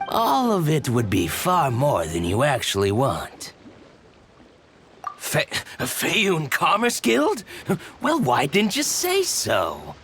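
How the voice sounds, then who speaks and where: Guarded shady male dealer, unhurried, hugely varied intonation, game character. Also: on the high side, wildly swooping pitch, a bit bright, faint music behind, full character voice. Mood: businesslike. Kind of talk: video game character acting.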